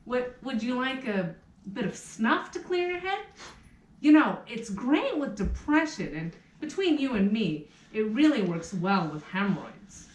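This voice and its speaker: A prim, officious clerk from a puppet play, acted by a woman. The voice is very high and swings up and down with fussy, chatty exaggeration. It comes from some way off in a roomy space. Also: sing-song, a little slow. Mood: nosy, chatty. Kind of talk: theatrical puppet show acting. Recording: roomy, distant rehearsal room recording.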